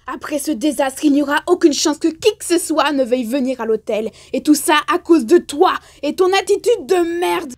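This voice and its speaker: A woman voicing a fierce, protective, hot-tempered demon woman who talks in a sharp, scolding way in a fan dub. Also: high-pitched, expressive, normal speed, few pauses. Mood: angry.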